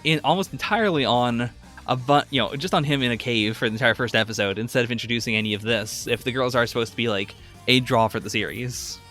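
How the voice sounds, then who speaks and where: A man's voice: mid-range voice, sharp tone. A man co-hosting a podcast, casually discussing anime in conversation. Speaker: podcast host.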